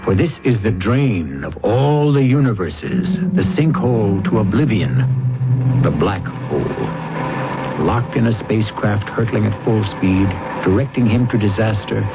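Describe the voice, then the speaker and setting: A man's voice: on the low side, expressive, a little slow, vocal fry. A man performing as the grave, theatrical host and narrator of a radio mystery drama. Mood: grave, ominous. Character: grave storyteller host of eerie mystery tales. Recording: muffled old radio broadcast, music underneath.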